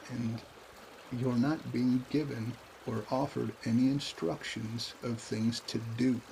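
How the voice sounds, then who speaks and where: A man guides a meditation with a calm, even voice that barely rises or falls. He speaks very slowly in short, spaced phrases, with a gravelly creak. Soft water trickles faintly behind him. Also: medium pitch, a little hiss or hum, poor audio. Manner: calm, unhurried, pausing between short phrases.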